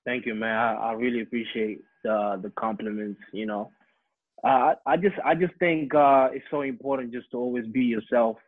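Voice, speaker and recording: A man's voice: medium pitch, steady tone, normal speed, dry recording. A young man from Senegal, a music producer, answering interview questions with many fillers and hesitations. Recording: phone-like narrow remote line.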